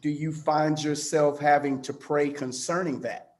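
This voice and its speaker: A man interviewing guests, asking measured questions and affirming with repeated okays. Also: fairly high pitch, level tone, darkish tone.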